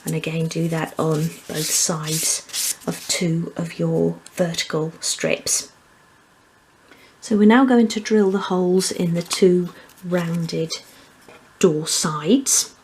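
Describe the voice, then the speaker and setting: A woman's voice: lowish voice, steady tone, takes it slowly, a little mellow, slight background noise, low-quality recording. A woman presenting a hands-on craft tutorial, explaining each step methodically. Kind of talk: hands-on step-by-step instructional demonstration.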